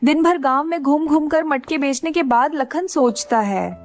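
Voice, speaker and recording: A woman's voice: high-pitched, normal speed. A woman reading scripted narration for a children's cartoon story.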